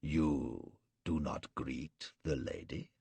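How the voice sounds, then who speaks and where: A man doing a cold, cruel warlord in a storybook reading. The voice is very deep and gravelly, husky at the edges, and it unspools its threats slowly and gloatingly. The sound is dry and close. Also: fairly even intonation, warm tone, dry recording, exaggerated voice. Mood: menacing. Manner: slow, gloating, measured threats. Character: menacing cruel male warlord, cold and mocking. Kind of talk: audiobook character reading.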